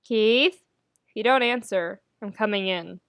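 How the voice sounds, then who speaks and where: A kind young man played by a woman narrator in an audiobook-style story. He is a caring roommate who speaks gently and politely, with a steady manner. The recording is dry and free of room sound. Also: medium pitch, measured pace, clear, resonant tone, dry recording. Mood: concerned. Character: kind young male roommate, calm and concerned.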